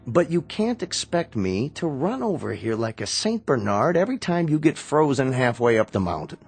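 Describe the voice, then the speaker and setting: Blunt, exasperated younger brother. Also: male voice, mid-range voice, very animated, moderate pace, vocal fry, husky, faint music behind, full character voice. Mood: weary, firm. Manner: emphatic, articulate, sardonic. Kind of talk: radio drama acting.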